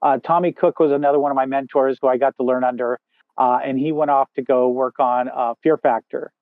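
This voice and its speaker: A man with a big booming voice, a film assistant director chatting as an interview guest, rambling with many fillers and restarts. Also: steady tone, fairly quick, no echo. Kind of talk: casual interview chat. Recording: dry tinny phone-like sound.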